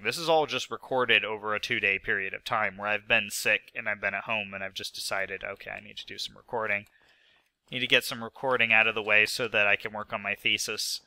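Young man with a head cold, rambling and self-mocking game commentary, bright smooth voice, dry close mic. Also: lowish voice, dry recording. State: sick with a stuffy nose. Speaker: gaming video host. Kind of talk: casual gameplay commentary.

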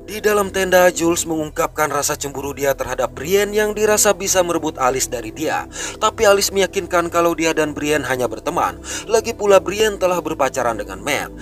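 A man narrating a film recap in a brisk, scripted storytelling way. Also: fairly high pitch, lively intonation, soft background music. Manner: brisk storytelling, rising and falling delivery.

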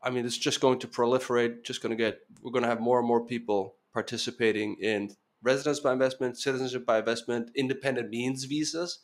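A man's voice: medium pitch, fairly even intonation, quick pace, few pauses. A man in his thirties, a podcast guest, speaking earnestly in casual interview talk with frequent restarts and a slight Venezuelan Spanish tinge.

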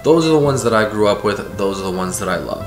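A man in his thirties talks straight to camera from a couch in his living room, an eager, lively storyteller. The room sounds roomy, and clear music plays under his voice. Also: lowish voice, fast. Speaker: video host. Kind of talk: casual explainer vlog.

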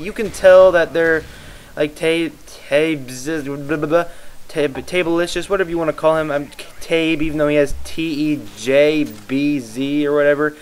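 A man's voice: a little high, clean tone. A tired young man from the Midwest rambling casually as he commentates over gameplay, often losing his train of thought. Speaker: YouTube gaming commentator.

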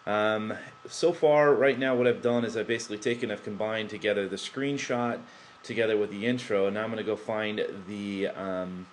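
A man's voice: on the low side, steady tone, moderate pace, a little hiss or hum. A man talking through a computer tutorial into his laptop's built-in microphone, rambling with many fillers and restarts. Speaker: tutorial presenter. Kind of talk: unscripted screen-recording tutorial.

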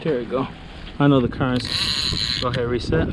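A man giving excited, slangy running commentary in casual chat while fishing. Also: mid-range voice, moderate pace. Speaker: fishing vlogger. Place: outdoors on a bridge over the sea. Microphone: head or chest-mounted action camera. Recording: loud wind and water noise.

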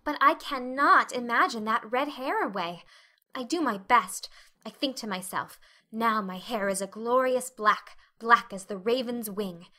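A chatty, imaginative young girl, played by a woman, high and very bright in tone. She is earnest, effusive and dramatic, as in a storybook reading. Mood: tragic, resigned. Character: talkative, dreamy, dramatic eleven-year-old orphan girl.

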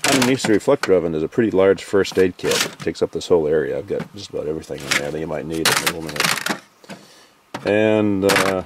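Deep-voiced man rambling through camp gear. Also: quick pace, very noisy. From Maine, US. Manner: relaxed, list-like, many "uh"s and "you know"s. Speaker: canoe trip vlogger. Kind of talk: casual gear walkthrough. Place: outdoor lakeside campsite. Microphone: handheld camera.

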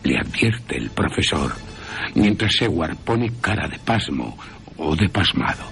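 Deep, slow, suspenseful male storyteller. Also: very animated, gravelly, fairly bright tone, faint music behind. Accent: Castilian Spanish. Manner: theatrical, suspenseful. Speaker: narrator. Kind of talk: dramatic radio horror storytelling.

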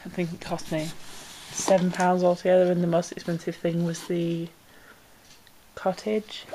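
Chatty woman with a low voice, bit bunged up from a cold, going through a box at home, faint hiss. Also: completely flat delivery, normal speed, warm tone, rough recording. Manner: chatty, self-deprecating, rambling with ums. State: slightly congested with a cold. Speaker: pet vlogger. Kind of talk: casual unboxing chat. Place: indoors at home, camera pointed down into a box.

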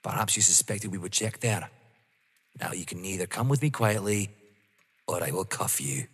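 An actor playing a methodical, smugly polite police inspector in an audio drama, formal and insinuating. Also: male voice, deep voice, moderate pace, creaky, breathy edge, heavily acted. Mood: stern. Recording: long hall-like reverb.

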